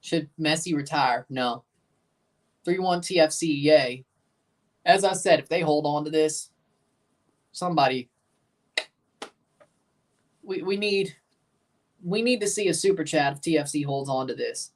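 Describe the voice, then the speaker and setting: A young man with a low voice hosting a livestream, giving blunt, opinionated hot takes while reacting to a soccer match and answering chat questions. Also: unhurried, pauses often, distant mic. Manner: excitable, jumps high in pitch when agitated.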